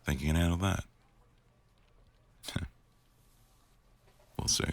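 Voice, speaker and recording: A man acts out an attentive, dry-witted executive boss for an intimate audio roleplay. His voice sits extremely low with a gravelly fry. He speaks in a measured, gently teasing way, recorded close and dry with no room sound. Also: fairly even intonation, dry recording. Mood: attentive, wry. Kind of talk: intimate boyfriend ASMR roleplay.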